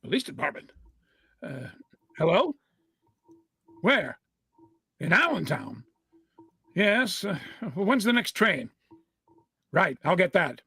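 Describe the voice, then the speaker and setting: A male actor as a courteous, anxious attorney in a 1930s radio mystery. His voice is fairly high and recorded very dry. He speaks hesitantly and imploringly, always polite. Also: sing-song, slow pace, vocal fry, pauses often, sharp tone, breathy edge, dry recording, heavily acted. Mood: surprised. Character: earnest, anxious, courteous male lawyer pleading for help.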